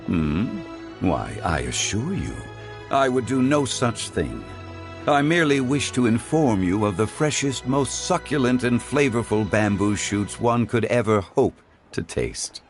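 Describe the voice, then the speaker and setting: A man voicing a courteous, refined gentleman in a video game who speaks in a formal, measured and eloquent way. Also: medium pitch, lively intonation, soft background music. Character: refined, courteous gentleman fond of the finer things. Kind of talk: video game cutscene dialogue.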